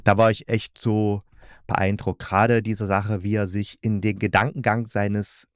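A man's voice: fairly low pitch, level tone, measured pace, clear, resonant tone, no room sound. Casual male interviewer, dry close sound. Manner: informal, rambling, searching for words. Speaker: podcast interviewer.

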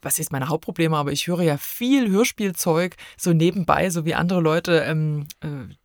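A woman with a slightly low voice gives her take as a guest in a relaxed chat format. She rambles, breaking off and circling back within sentences. The recording is dry, with very little room sound. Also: moderate pace, no room sound. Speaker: podcast guest co-reviewer. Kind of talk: casual chat, giving opinions.

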